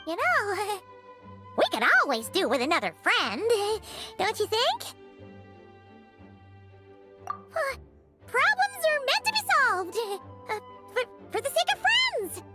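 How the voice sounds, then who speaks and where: A tiny fairy girl from a video game. Her childlike voice is squeaky, piercingly high and bright, swooping up and down. She is blunt, excitable and a bit greedy. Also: slow, long pauses, faint music behind, exaggerated voice. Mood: greedy, coaxing. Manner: speaks of herself in third person, blunt.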